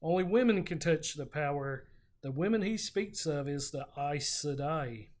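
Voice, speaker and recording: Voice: mid-range voice, animated, moderate pace, darkish tone. Informal podcast host recapping a story. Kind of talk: casual book recap and discussion.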